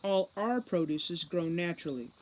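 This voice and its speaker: A woman's voice: on the low side, expressive, normal speed, darkish tone, lightly acted, poor audio. A woman voicing a feisty young female guard in a storybook, bossy, scolding and mocking.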